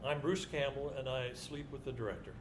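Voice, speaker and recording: A man's voice: medium pitch, fairly even intonation, a little fast, crowd noise. A man performing as a reader in a staged literary dramatization. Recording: live stage recording with background noise.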